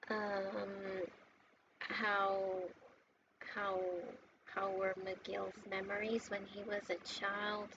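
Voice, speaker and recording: A woman giving casual, heartfelt game commentary in a gushing, sentimental and halting way. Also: medium pitch. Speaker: gaming content creator. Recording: muffled lo-fi mic with noticeable background noise.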